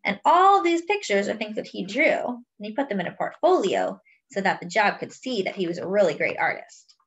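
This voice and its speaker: Animated woman reading a picture book aloud. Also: medium pitch, brisk, lo-fi sound. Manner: expressive, explains words and pictures as if to young children. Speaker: host of a children's read-aloud.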